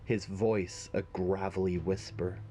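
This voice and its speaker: A man narrating a scripted fantasy story in a measured, dramatic way. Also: low-pitched, level tone, mellow, soft background music.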